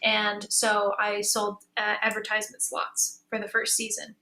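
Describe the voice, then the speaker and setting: A woman's voice: mid-range voice, completely flat delivery, a little slow, bright, forceful tone, rough recording. A woman in a conversational panel discussion, speaking casually and reflectively as a moderator and fellow creator. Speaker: panel moderator, audio drama creator and musician.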